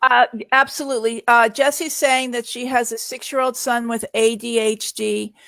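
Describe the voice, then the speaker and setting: A woman's voice: fairly high pitch, fairly even intonation, normal speed, fairly bright tone, no echo. A middle-aged woman answering questions in a casual live Q&A, speaking in an earnest, reassuring way. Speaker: guest answering viewer questions.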